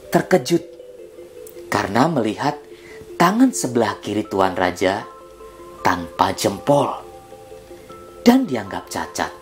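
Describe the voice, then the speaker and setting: A man telling a children's story in a deliberate, dramatic manner, ending with a moral lesson. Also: on the low side. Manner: expressive, deliberate, with dramatic emphasis.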